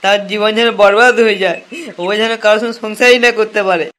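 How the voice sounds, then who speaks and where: A man's voice: high voice, steady tone, very noisy, close to an everyday voice. A man voicing a wronged, lovelorn young man in a comic cartoon, whining and sarcastic with foul-mouthed slang. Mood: lamenting, bitter. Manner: whining, sarcastic, drawn-out lamenting. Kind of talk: comic cartoon dialogue in colloquial Bengali slang.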